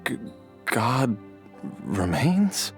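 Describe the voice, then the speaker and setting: A man playing a confused, ailing father with a failing memory in a game, halting and stammering in a weak, husky voice. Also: medium pitch, very animated, faint music behind, full character voice. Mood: bewildered.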